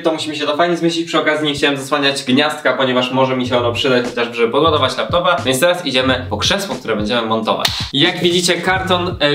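A man's voice: a little high, bright, forceful tone. Chatty young man in an echoey room. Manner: upbeat, chatty, addresses viewers directly. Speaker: vlogger. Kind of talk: casual room makeover vlog. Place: bright bare room, indoors.

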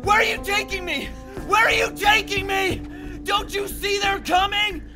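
A man's voice: very high-pitched, steady tone, moderate pace, over music. A man playing a frantic, paranoid zealot who pleads urgently. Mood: desperate, frantic. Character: frantic, paranoid man insisting only he sees evil.

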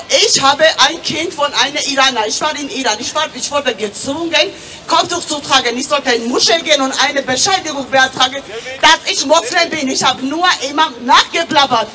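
Woman, 40s, German, very high and bright, nervous and impassioned, distant mic at a noisy street rally. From Georgia. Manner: emotional, nervous, broken German, exclaiming. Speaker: rally speaker. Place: outdoor city square rally. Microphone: handheld mic through loudspeakers. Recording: distant voice, crowd and street noise.

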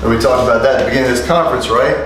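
A middle-aged man preaching an interactive sermon to a small group, animated and asking the room for amens. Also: medium pitch, very fast, heavy reverb, far from the mic, background noise. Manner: animated, calls for amens, asks the room questions. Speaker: preacher teaching street preachers. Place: small indoor meeting room. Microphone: lapel mic.

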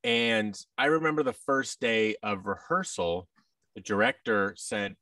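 Low-pitched man, slightly distant. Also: animated, a little slow, pushed and bright, dry recording. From United States. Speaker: interview guest, actor and voice-over artist. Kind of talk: casual interview talk. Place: large indoor room with tables, on video call. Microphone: boom-arm microphone, headset.

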